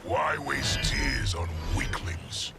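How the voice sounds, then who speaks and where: An actor playing a brutal, boastful warlord emperor in a video game, taunting and imperious. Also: male voice, mid-range voice, expressive, takes it slowly, vocal fry, over loud music, exaggerated voice. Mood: sneering. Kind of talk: video game cutscene acting.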